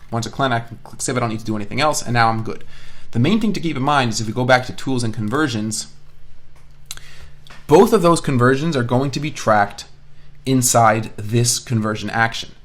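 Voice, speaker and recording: A man's voice: medium pitch, brisk, fairly bright tone. A man instructing an online course in an informal screencast style, explaining as he thinks aloud and repeats phrases for emphasis. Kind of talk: informal screencast software tutorial.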